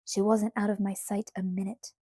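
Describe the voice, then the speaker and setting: Woman reading as a firm, steady pioneer father. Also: mid-range voice, moderate pace, husky, no echo, studio quality, lightly acted. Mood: insistent. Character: homesteading father, steady, insistent family man. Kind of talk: audiobook character voice.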